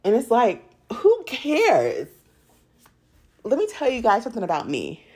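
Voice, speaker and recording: A woman's voice: a little high, wildly swooping pitch, a little slow, darkish tone. A woman from the United States hosting a TV recap, chatting casually and candidly to viewers with strong opinions. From United States, raised in a Jamaican household. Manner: candid, opinionated, laughs easily while talking. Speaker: TV recap show host.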